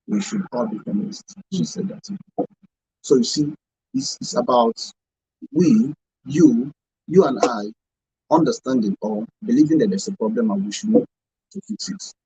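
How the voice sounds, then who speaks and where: Gravelly, animated Nigerian man explaining. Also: on the high side, poor audio. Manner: emphatic, repeats himself. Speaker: radio show panelist. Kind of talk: explanatory talk show discussion.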